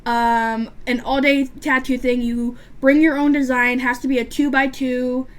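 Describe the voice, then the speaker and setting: A woman's voice: high voice, level tone, a little slow. A woman of about twenty chatting casually while doing her makeup, with a stutter and a lisp and a rambling way of talking. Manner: rambling, chatty, frequent restarts and fillers. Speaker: beauty vlogger.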